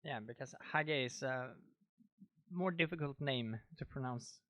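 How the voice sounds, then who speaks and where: A man with a Swedish accent hosting a casual fantasy podcast, chatty and quick to laugh. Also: medium pitch, highs cut off. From Sweden. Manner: relaxed, chatty, laughs easily. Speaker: podcast host. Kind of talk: casual chat.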